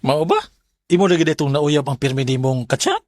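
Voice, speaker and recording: A man's voice: fairly high pitch, a little fast, noisy background, close to an everyday voice. A man with a Visayan Filipino accent playing a young, laid-back older brother who teases and banters in a radio drama. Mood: curious, teasing. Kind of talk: Bisaya radio drama acting.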